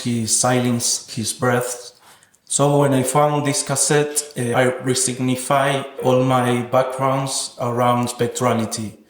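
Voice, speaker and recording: A man with an Argentine accent and native Spanish speaks as a podcast guest in a mid-range voice. His phrasing is measured and slightly hesitant, with academic wording. The recording is roomy and echoing. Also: medium pitch. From Buenos Aires, Argentina. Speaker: podcast guest, sound artist and researcher. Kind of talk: reflective interview conversation.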